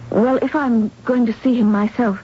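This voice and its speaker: A woman's voice: a little high, fairly even intonation, normal speed, natural voice. A woman playing an earnest, bereaved young woman, sincere and hesitant, in a 1970s radio drama. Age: young adult. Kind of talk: 1970s radio drama acting with first-person narration. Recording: dull, noisy 1970s radio recording.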